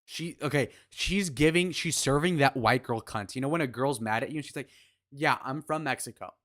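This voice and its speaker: A man's voice: medium pitch, normal speed, a bit bright. A young man streaming live, reacting to clips with blunt, mocking, crude running commentary in a casual way.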